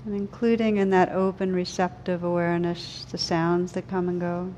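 A woman leading a guided meditation, speaking gently and soothingly in slow, unhurried phrases with pauses. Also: fairly low pitch, level tone, slight background noise. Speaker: meditation teacher.

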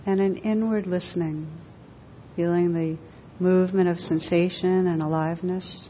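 A woman leading a guided meditation for a group, speaking gently in short, unhurried phrases with long pauses. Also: steady tone. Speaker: meditation teacher. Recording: dull echoing hall recording, faint rumbling noise.

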